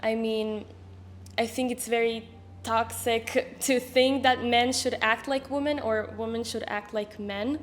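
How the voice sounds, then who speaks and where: A woman's voice: on the high side, fairly even intonation, a little slow, a little hiss or hum. A woman in her twenties from Israel, speaking English as an as an interview guest on a handheld mic, hesitant, with many fillers and restarts. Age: 20s. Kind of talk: casual interview.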